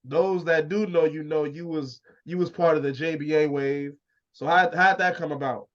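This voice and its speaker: A man with a slightly high voice hosts a casual interview. His talk is informal and full of slang, with some swearing. The sound is muffled and lo-fi, like a weak internet video call. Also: fairly even intonation, moderate pace. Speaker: interview show host. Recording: muffled low-quality internet call audio.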